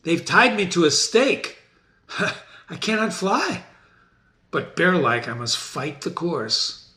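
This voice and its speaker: Guy doing a proud raging tragic king, shakespeare style, slow and declamatory, big swooping pitch. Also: big pitch swings, sharp tone, full character voice. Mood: cornered, defiant. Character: proud, despairing, raging warlord king in tragedy. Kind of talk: dramatic amateur Shakespeare reading.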